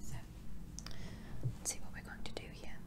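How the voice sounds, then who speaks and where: Casting: woman, soft whispery delivery, soothing and attentive, ASMR barber roleplay. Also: moderate pace. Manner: gentle, soothing, attentive to the listener.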